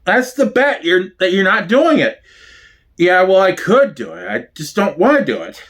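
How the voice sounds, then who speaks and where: A man's voice: sits high, sing-song, normal speed, fairly bright tone. A man giving a theatrical one-man dramatic reading of sitcom dialogue, switching between characters.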